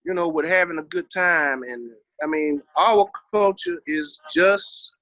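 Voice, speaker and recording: A man's voice: fairly high pitch, measured pace, pushed and bright, dry recording. A man with a Texas Southern accent talking casually in an interview, emphatic and full of slang and swearing. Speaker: interview guest, hip-hop veteran.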